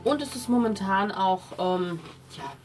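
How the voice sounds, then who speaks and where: A woman runs a tabletop fantasy game as its game master, in a mid-pitched voice. She improvises her descriptions, feeling for words, while music plays clearly behind her. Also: animated, unhurried.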